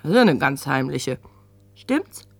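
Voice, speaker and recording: An actress playing a blunt, nosy shopkeeper with a colloquial, muttering way of talking in a radio drama. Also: female voice, rumbling low, sing-song, a little slow, gravelly, dry recording, full character voice. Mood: nosy, teasing. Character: brusque, nosy, heavy-set female kiosk keeper. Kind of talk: German radio drama acting.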